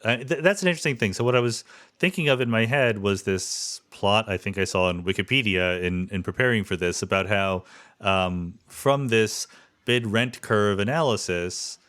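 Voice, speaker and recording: A man's voice: on the low side, normal speed, a bit bright. A man in his fifties from the US East Coast with an American accent, hosting a conversational interview and thinking aloud as he frames questions. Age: 50s. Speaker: podcast host.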